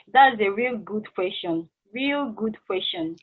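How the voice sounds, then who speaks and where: A woman playing a laid-back, candid fast-food worker in a stage play table read. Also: medium pitch, animated, slight background noise. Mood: easygoing. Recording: tinny, phone-like call sound.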